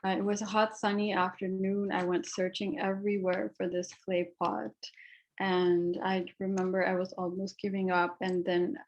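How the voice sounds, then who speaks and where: A woman presents her art at a panel talk, her voice sitting in an ordinary middle register. She speaks in a reflective, personal way and often pauses on ums and uhs. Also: mid-range voice, little pitch movement, slight background noise, poor audio.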